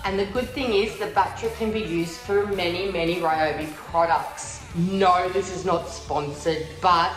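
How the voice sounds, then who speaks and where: A woman's voice: lowish voice, steady tone, a little slow, hardly any pauses. A young Australian woman chatting through a product review vlog at home, bubbly and cheeky, sometimes breaking into little sung bits as she walks around her kitchen. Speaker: vlogger. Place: large modern kitchen and living room indoors. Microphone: phone camera on the bench, moving around the room. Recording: roomy echo with faint music.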